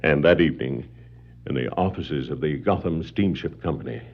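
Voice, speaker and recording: A man narrating a scripted superhero drama in 1960s radio style, suspenseful, with deliberate dramatic pauses. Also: extremely low pitch, vocal fry. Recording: dull 1960s vinyl record sound.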